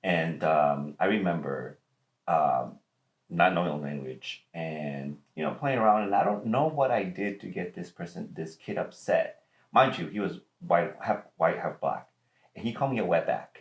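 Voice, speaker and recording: A man from Mexico, a podcast guest, recounting his past in a measured, reflective way. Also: sits low, animated, gravelly, reverberant. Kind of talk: casual chat.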